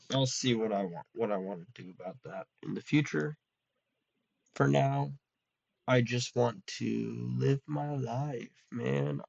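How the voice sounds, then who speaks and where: Slow, gravelly young man rambling. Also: mid-range voice, a little mellow, dry recording. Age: young adult. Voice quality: nasal. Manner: rambling, full of ums and restarts. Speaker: vlogger. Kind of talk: casual solo chat. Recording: dull lo-fi dry recording.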